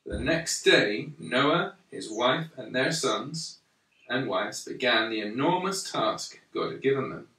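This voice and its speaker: A man reading a children's story aloud in a measured, deliberate way, pausing between phrases. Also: medium pitch, animated, pushed and bright. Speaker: storyteller reading a Bible picture book to a young child. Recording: roomy, echoey, slightly distant microphone.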